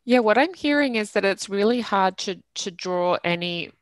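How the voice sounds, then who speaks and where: A woman in her thirties moderating an interview on a video call, putting questions in a thoughtful, halting way. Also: mid-range voice, animated, no room sound, studio quality. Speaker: host and moderator, magazine editor. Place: indoor room. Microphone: headset on video call.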